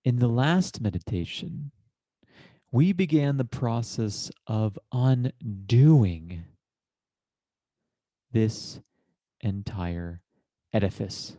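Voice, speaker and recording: A man leading a guided meditation in a measured, deliberate manner, with formal, literary phrasing and long pauses between short phrases. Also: medium pitch, animated, mellow, no room sound. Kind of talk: guided meditation, slow contemplative narration.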